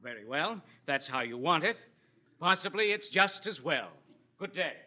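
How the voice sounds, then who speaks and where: A man's voice: fairly high pitch, animated, moderate pace, bright, forceful tone, exaggerated voice. A man playing a stiff, self-righteous fiancé who stammers and talks pompously, in 1940s radio acting style. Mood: flustered. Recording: vintage radio broadcast with faint hiss.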